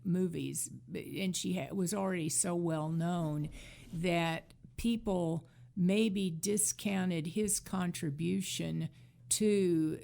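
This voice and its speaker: A woman hosting a casual talk show, giving conversational commentary in measured, hesitant phrases. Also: on the low side, gravelly, darkish tone.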